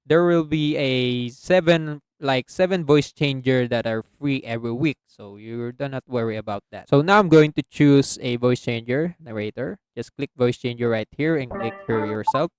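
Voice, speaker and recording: A man hosting a casual software tutorial as a voice-over. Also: medium pitch, moderate pace, hardly any pauses, clean tone, no room sound. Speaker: tutorial host. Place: close, dry indoor voice-over recording.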